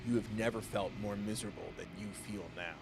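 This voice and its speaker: A man's voice: fairly low pitch, fairly even intonation, fast talker, creaky. A man doing live gameplay commentary, thinking aloud playfully and reading text from the game. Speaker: game streamer. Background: game music.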